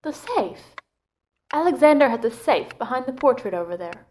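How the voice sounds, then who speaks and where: A woman acts out a poised lady in an audio drama with a high, light voice. She is cool and composed, speaking formally with an arch edge. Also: expressive, moderate pace, a little mellow, slight background noise. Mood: matter-of-fact. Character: poised, cool woman guiding relatives through a will reading.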